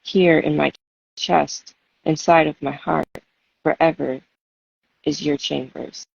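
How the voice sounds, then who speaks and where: Female poet, raspy and fry-tinged, measured and expressive, on muffled audio. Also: sits low, no echo. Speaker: poet and guest reader. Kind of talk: spoken-word poetry reading and casual chat. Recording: dull, lo-fi audio.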